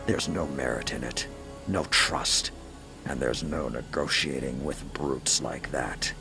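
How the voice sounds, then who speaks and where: An actor playing a stern, blunt, war-hungry military commander in a video game, speaking gravely and emphatically. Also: male voice, rumbling low, slow, full character voice. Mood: grim contempt. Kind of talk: scripted video game dialogue. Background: music.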